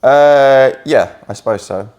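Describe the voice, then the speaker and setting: An English man talking casually with his partner, relaxed and hesitant, with many fillers. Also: mid-range voice, slow. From England. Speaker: guest partner. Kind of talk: casual chat.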